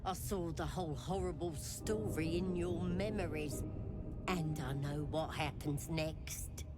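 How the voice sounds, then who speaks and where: An actress playing a crude, menacing, possessive goblin priestess in a video game, with slangy, taunting speech. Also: female voice, sits low, steady tone, a little slow, over loud music. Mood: taunting, threatening.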